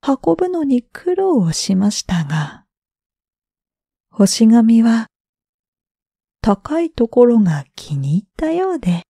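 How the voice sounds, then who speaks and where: Woman reading sleepy bedtime tales, soft breathy mellow voice, super gentle, dry recording. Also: a little high, sing-song, no room sound. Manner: gentle, drawn-out, breathy phrase endings. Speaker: storyteller, freelance announcer. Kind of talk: bedtime story narration for sleep.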